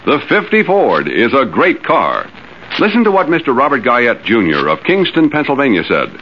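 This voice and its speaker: Animated, emphatic old-time radio announcer man. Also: mid-range voice, moderate pace. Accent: American. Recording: muffled old radio recording, faint noise.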